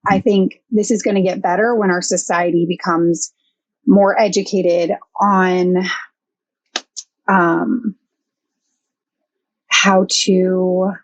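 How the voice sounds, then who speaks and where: Brief: young adult woman, guest counselor, halting and thoughtful, dry mic. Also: lowish voice, little pitch movement, no echo, rough recording. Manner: thoughtful, hesitant with many fillers. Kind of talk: casual podcast interview.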